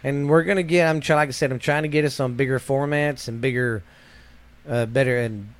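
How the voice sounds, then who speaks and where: Texan man, podcast co-host, mid-pitched voice, rambling and folksy. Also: steady tone, brisk. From Texas. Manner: rambling, folksy, repeats himself. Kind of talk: casual chat.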